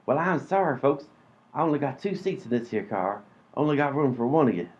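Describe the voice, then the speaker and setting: A man's voice: medium pitch, animated, fairly quick, darkish tone, lightly acted. Man playing a folksy hillbilly driver, dull sound. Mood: folksy, apologetic. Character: folksy male hillbilly driver, mysterious stranger. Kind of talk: comedy podcast sketch acting. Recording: dull lo-fi audio.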